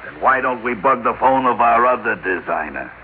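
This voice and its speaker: A man's voice: mid-range voice, lively intonation, moderate pace, slight background noise, natural voice. An actor playing a reluctant, conscience-troubled businessman and older brother in an old-time radio drama. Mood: challenging. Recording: muffled old radio broadcast, music behind.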